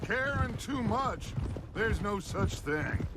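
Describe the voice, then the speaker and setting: A charismatic outlaw boss from a western game, slow grand speechifying, loud hoofbeats and noise behind. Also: male voice, high-pitched, expressive. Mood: impassioned. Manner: grandiose, oratorical, deliberate. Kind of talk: dramatic cinematic game acting.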